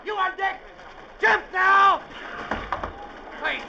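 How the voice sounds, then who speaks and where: An actor playing a gruff, domineering sea captain in his seventies, barking curt, impatient orders in 1940s radio drama style. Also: extremely high pitch, very slow, full character voice. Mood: harsh, urgent. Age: 70s. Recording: old radio recording, dull, roaring storm noise.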